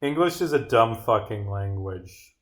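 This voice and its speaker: A man's voice: lowish voice, lively intonation, measured pace. A man streaming as he works on his game, talking casually to himself with sweary, grumbling asides. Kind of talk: casual livestream muttering while reading and bugfixing script aloud. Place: indoors at a computer, streaming.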